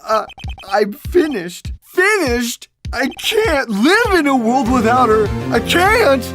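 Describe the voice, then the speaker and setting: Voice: high-pitched, very animated, slow, music in the background, heavily acted. A performer playing a melodramatic, distraught young male murder defendant in a video game fandub, wailing and overwrought. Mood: grieving, desperate.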